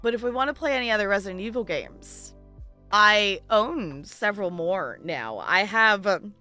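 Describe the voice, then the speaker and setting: A woman's voice: mid-range voice, animated, moderate pace, bright, forceful tone, faint music behind. A woman chatting casually on a livestream in a playful, giggly, rambling way. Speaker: live game streamer chatting with viewers.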